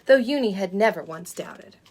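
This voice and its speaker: A woman's voice: medium pitch, expressive, normal speed, a little mellow. A woman playing a sweet, wide-eyed unicorn who hosts story time for children, with cooing wonder and exaggerated emphasis. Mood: storytelling wonder. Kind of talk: animated picture-book reading for children.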